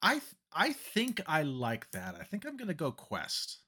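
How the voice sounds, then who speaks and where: A young male co-host with a very bright, sharp tone and a lively, bouncing pitch that sits a little high. He is easygoing, laughs often and chimes in with short quips during casual banter. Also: moderate pace. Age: young adult. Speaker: podcast co-host. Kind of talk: casual comedic chat.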